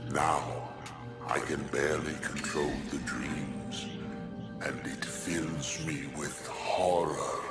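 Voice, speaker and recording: An actor playing a tormented, weary male monstrosity in a video game, a mutated psychic who speaks gravely and with regret. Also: a bass voice, fairly even intonation. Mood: grave, sorrowful. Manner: grave, regretful, slow and deliberate. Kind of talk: video game cutscene acting. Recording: loud music under the voice.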